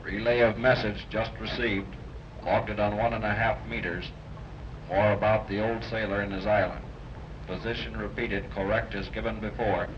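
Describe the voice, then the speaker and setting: Actor playing low curt radio operator, clipped, old muffled recording. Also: male voice, flat delivery, moderate pace, slight background noise, close to an everyday voice. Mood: reporting. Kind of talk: 1930s radio acting.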